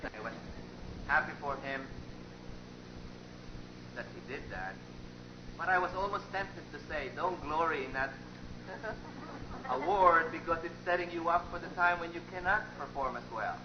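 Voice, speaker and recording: A man's voice: on the high side, expressive, moderate pace, long pauses. A man in a conference audience asking a spontaneous personal question, hesitant and searching for his words. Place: conference room, speaking from the audience. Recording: muffled, noisy, echoey live cassette recording.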